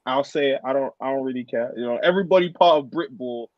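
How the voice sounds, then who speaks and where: A thirty-year-old man talks as a guest in a casual chat. His way of talking is informal, slangy and sincere, at a middle pitch. The sound is dry and a little dull, like compressed online call audio. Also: fast talker, dry recording. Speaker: guest, American football player.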